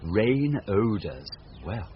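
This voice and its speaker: A British actor playing a foolish, envious gentleman, hesitant and muttering, in a classic radio Shakespeare play. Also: lowish voice, very animated, drawn-out words, exaggerated voice. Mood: envious, awkward. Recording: dull, noisy vintage radio recording.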